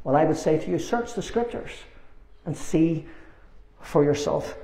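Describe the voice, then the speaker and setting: A man in his sixties preaching a fervent sermon, emphatic and repetitive, with deliberate pauses, on a lapel mic in a small church meeting room. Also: fairly high pitch, animated, mellow. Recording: roomy, echoing meeting room.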